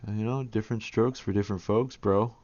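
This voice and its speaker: A man's voice: on the low side, fairly even intonation, a little fast, darkish tone, dry recording, muffled recording. A man joining a stream call as a guest, teasing the host and calling him bro and dude. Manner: teasing, laughing, calls people bro and dude. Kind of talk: casual chat.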